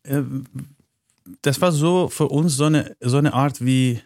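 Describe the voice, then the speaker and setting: Halting non-native man, dark voice. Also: mid-range voice, no room sound, clean studio sound. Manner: halting, with many fillers and restarts, speaks German as a second language. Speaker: interview guest. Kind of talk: conversational interview answers. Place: studio, in a room with the host.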